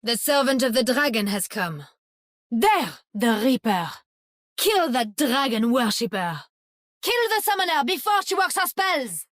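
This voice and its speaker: A cold, commanding huntress. Her voice is fairly high and very animated in pitch, with stern, dramatic video game delivery and a dry, close sound. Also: a little slow, no room sound, full character voice. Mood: urgent, commanding. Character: cold, stern female hunter defending a gate.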